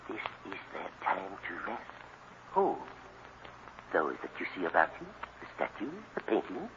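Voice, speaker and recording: An actor playing an eccentric, eerie museum night watchman with slow, hushed, deliberate delivery in an old radio drama. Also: sits low, huge pitch swings, muffled recording, heavily acted. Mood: eerie, solemn. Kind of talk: 1940s radio acting. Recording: noisy, band-limited old radio recording.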